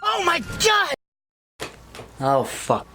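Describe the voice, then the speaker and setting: A young man hosting a prank video, bantering casually with friends, swearing and sometimes breaking into yells. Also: very high voice, drawn-out words. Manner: profane, excitable, swings into yelling. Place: in a car at drive-thrus. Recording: very noisy car and street noise.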